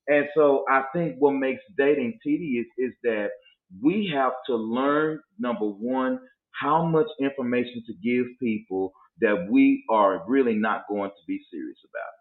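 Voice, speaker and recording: A man's voice: medium pitch, unhurried. A man in his forties holds forth on dating in an emphatic, preachy way. His voice comes through a tinny, phone-like line and echoes around the room. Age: 40s. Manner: preachy, emphatic, slips into mock voices to make a point. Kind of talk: casual podcast chat about dating.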